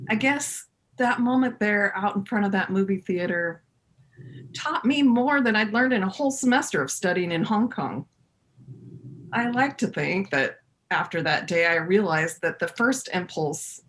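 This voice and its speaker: A woman's voice: medium pitch, a little hiss or hum, natural voice, low-quality recording. A woman playing a reflective therapist who looks back on her younger self in a readers theater monologue.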